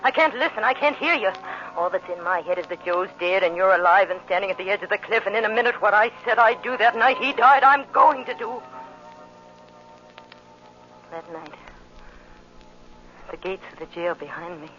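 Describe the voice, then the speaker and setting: A woman's voice: mid-range voice, lively intonation, fairly quick, a bit bright, faint music behind, close to an everyday voice. An actress playing a grief-stricken, vengeful young widow in 1940s radio drama, intense and dramatic, repeating her phrases obsessively. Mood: frantic, vengeful. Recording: muffled, noisy old radio recording.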